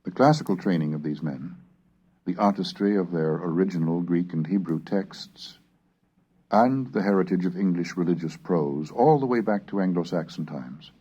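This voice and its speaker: A man reading a scripted educational narration in a formal, measured, oratorical manner. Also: deep voice, warm tone, husky. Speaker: lecturer and reader. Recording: dull lo-fi cassette recording.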